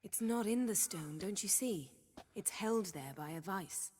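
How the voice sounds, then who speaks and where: An actress playing a commanding, sharp-witted sorceress in a video game, articulate and wry. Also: female voice, medium pitch, expressive, unhurried, slight background noise, natural voice. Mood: dry.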